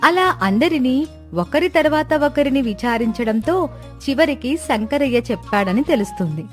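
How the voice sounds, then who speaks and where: A woman's voice: sits high, animated, moderate pace, darkish tone, soft background music. A woman reading scripted narration as the narrator of a story.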